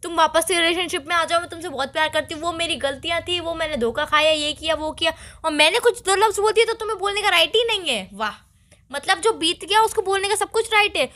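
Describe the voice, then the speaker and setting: A woman acting as a jealous young girlfriend with an extremely high, bright, sharp voice. She talks fast, scolding and sarcastic, cutting in with indignant accusations. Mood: sarcastic, hurt. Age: young adult.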